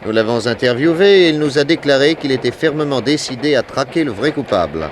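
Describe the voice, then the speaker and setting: An actor playing a shrewd, brisk and cautious private detective in a French 1960s radio drama. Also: male voice, medium pitch, expressive, lightly acted. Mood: reading aloud. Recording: noisy old radio recording.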